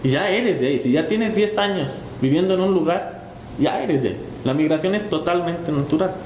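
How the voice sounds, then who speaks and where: A man's voice: fairly high pitch, lively intonation, moderate pace, a little mellow. A man from Jalisco with a Mexican Spanish accent, a panelist who argues firmly and repeats his key points. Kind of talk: debate argument. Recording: echoey room with noise.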